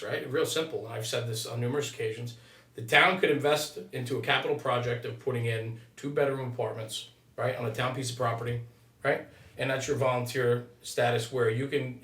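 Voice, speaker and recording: A man talks through his views in an emphatic, conversational way, often checking in with "right?" His mid-pitched voice sounds roomy, set back from the microphone. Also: a bit bright. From United States. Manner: emphatic, punctuates points with "right?". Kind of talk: conversational opinion, explaining at length. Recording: roomy echo, distant from mic.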